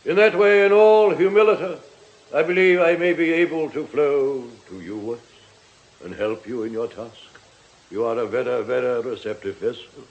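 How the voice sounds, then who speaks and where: An actor playing a sanctimonious, evasive cult priest in a radio drama, speaking in a lofty, pompous, unctuous manner. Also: male voice, medium pitch, normal speed, highs cut off, natural voice. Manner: pompous, lofty, pious delivery.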